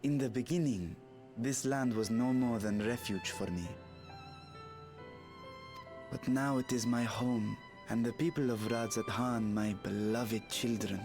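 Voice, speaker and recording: A serene, courtly dragon king from a fantasy video game played by a man speaks with measured formality and benevolence. Soft music plays behind the voice. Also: medium pitch, natural voice. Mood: fond, proud. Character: serene male dragon ruler in a humanlike vessel, courtly and benevolent. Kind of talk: scripted video game dialogue.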